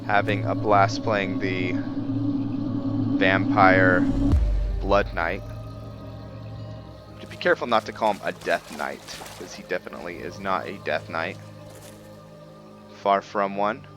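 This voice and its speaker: A man giving casual, reflective commentary over a roleplay video game, thinking aloud in a halting way. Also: sits low, over music. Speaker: gaming host.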